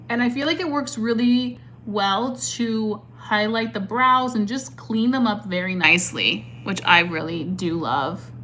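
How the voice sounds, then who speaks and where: A woman's voice: fairly high pitch, fairly bright tone, slight background noise. A woman in her twenties chatting casually while doing her makeup and answering live chat, upbeat, with plenty of "like" and "um". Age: 20s. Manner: chatty and upbeat, filler-heavy with many "like" and "um".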